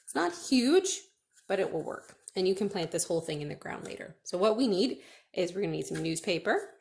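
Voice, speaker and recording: Very animated woman reading at children's storytime. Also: on the low side, brisk, slight background noise. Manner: expressive, addressing small children with prompting questions. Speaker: children's librarian reading picture books. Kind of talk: children's storytime read-aloud with questions. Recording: roomy, echoing indoor recording.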